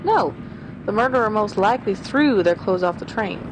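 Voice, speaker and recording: A woman plays a quick, determined young schoolteacher turned sleuth in a radio mystery. She reasons briskly, in a middle voice. A steady hiss runs under the noisy recording. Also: medium pitch, lightly acted. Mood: tense. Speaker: voice actor. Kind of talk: old-time radio drama acting.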